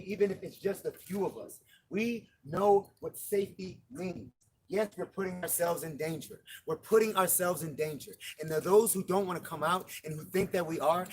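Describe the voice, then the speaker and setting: A man's voice: high-pitched, low-quality recording. A man giving an impassioned, profane speech to fellow marchers outdoors at night, hammering his points with repeated emphasis. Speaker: protest marcher. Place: outdoors at a night street protest.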